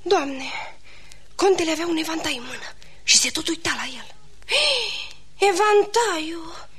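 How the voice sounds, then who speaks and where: An excitable lady in a radio stage comedy. Her voice is extremely high, and she speaks with dramatic, decided emphasis. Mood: excited.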